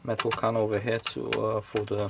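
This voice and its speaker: Low, creaky-voiced man thinking aloud. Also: completely flat delivery, normal speed, very noisy. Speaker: electronics teardown host. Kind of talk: casual off-the-cuff technical commentary.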